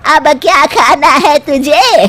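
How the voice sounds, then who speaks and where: A woman voicing an older mother-in-law in a cartoon story, fretful, exasperated and quick to scold. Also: sits high, pushed and bright, voice pushed up, full character voice. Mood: indignant, scolding. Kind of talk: cartoon moral story character acting.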